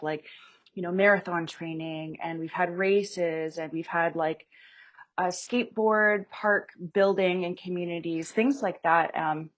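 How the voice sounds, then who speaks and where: A woman losing her voice, talking in a chatty, loose way on a video call as a panel speaker for a youth sport funder. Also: lowish voice. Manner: chatty, talks in loose restarts. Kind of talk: casual webinar talk.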